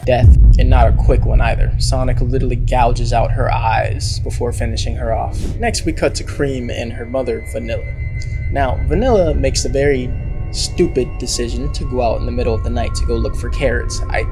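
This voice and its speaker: A man's voice: medium pitch, steady tone, normal speed. A man giving a casual spoken recap of a horror video series, dropping sarcastic remarks along the way. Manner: wry, sarcastic asides. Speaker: video commentator. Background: background music.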